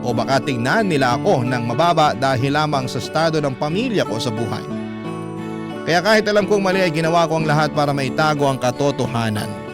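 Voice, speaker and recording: A man narrating a confessional story in the first person as a radio host, dramatic, earnest and confiding. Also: medium pitch. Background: music bed with plucked guitar.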